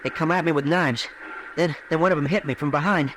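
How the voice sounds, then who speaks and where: Male actor as distraught young farm lad, highish voice, rustic and halting; radio western with faint hiss. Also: lightly acted. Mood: hurt, despairing. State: dazed, distraught. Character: anguished, heartbroken young frontier farm lad. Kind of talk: 1950s radio western acting. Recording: old radio broadcast, faint noise.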